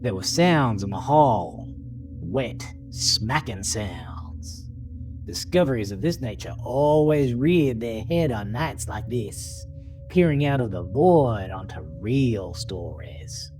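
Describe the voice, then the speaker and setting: Slow, dramatic male horror narrator. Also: mid-range voice, lively intonation, over music. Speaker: narrator of an audio horror story.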